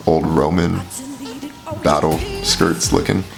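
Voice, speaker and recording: A man from California hosting a music reaction, talking casually and rambling with lots of fillers, his voice raspy and hoarse from allergies. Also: low voice, steady tone, takes it slowly. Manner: rambling, filler-heavy explaining. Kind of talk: casual vocal analysis commentary. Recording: music playing behind voice.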